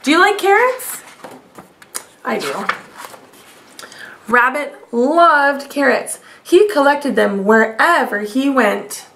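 A woman in her thirties reads to a class of young children. Her voice sits high and she takes her time, speaking deliberately. The delivery is that of a teacher sharing a picture book expressively. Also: big pitch swings, fairly bright tone, a little hiss or hum. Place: small home room, indoors.

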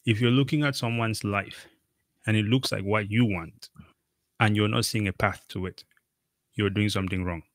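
Middle-aged male host, deep-ish, dry close sound, loose and emphatic with fillers. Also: fairly even intonation, moderate pace, dry recording. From Cameroon. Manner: rambling, emphatic, many fillers. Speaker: podcast host. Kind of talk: casual conversational interview. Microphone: video call.

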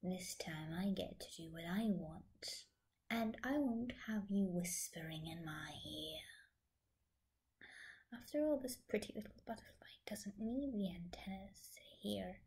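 A vengeful teenage supervillainess, acted by a woman for a fan audio drama. Her voice is mellow and whispery, at a middling pitch, and she draws out her mocking phrases slowly and theatrically. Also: expressive. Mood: sly, menacing. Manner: taunting, theatrical, drawn-out phrases.

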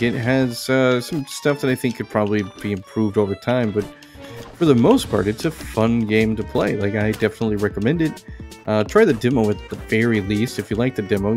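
A man giving casual let's play commentary as a game streamer, thinking aloud in a halting way. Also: medium pitch, darkish tone. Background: game music.

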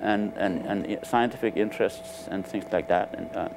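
An older man from Nepal, a native Nepali speaker, recounting his life in a relaxed, hesitant way with many fillers, on a lapel mic in a lecture room. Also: low-pitched, moderate pace, vocal fry, noisy background. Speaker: interviewed scientist. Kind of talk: relaxed conversational storytelling. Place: lecture room with a small audience.

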